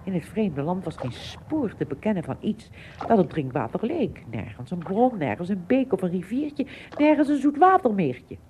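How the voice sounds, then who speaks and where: A woman narrating a scripted story in an expressive, measured storytelling manner. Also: medium pitch, slight background noise.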